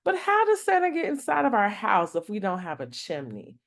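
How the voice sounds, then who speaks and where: A woman's voice: medium pitch, huge pitch swings, normal speed. A woman voicing an earnest, curious little girl in a picture book, with a wondering, questioning manner. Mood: curious, worried.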